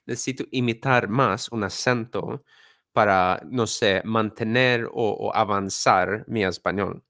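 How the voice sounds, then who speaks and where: Podcast host guy, kinda low voice, lots of likes and restarts, dry sound. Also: unhurried, a bit bright, no echo. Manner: loose, filler-heavy talk with many restarts. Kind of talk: casual chat.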